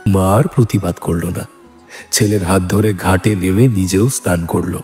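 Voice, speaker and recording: A man narrates a literary story in a fairly low, warm and dark-toned voice. His delivery is measured and scripted. Light music runs underneath. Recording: music bed behind the voice.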